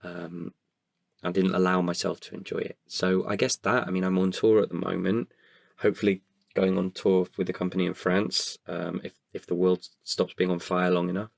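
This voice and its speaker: A British man with a low, husky voice talks in a reflective interview. He is candid and thoughtful, feeling his way hesitantly through his answers. The sound is close and dry. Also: flat delivery, moderate pace, no room sound. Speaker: podcast guest, performer.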